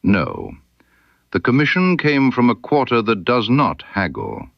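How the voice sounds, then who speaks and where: A man voicing a proud, stubborn sculptor in an audiobook, scornful of flattery, with blunt, emphatic, formal period speech. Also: mid-range voice, unhurried, creaky, no room sound, highs cut off, pitched above the performer's own voice, full character voice. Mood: wary, defiant. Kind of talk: character dialogue in an audiobook.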